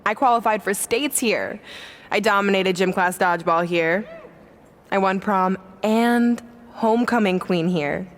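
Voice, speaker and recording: A woman's voice: medium pitch, unhurried, natural voice. An actress playing a smug, boastful female wrestler in a video game, condescending and mocking. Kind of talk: video game cutscene acting.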